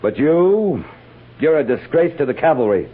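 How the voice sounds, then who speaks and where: An actor playing a stern, commanding cavalry captain in a 1950s radio western, clipped and gruff. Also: male voice, fairly high pitch, big pitch swings, moderate pace. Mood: angry, scolding. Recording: scratchy, narrow-band old radio recording.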